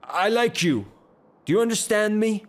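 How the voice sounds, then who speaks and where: An actor playing a blustering, lovestruck, hot-tempered gentleman landowner in an audio drama, with a halting, theatrical delivery. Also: sits high, lively intonation. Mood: flustered, smitten. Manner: theatrical, halting, repeats himself.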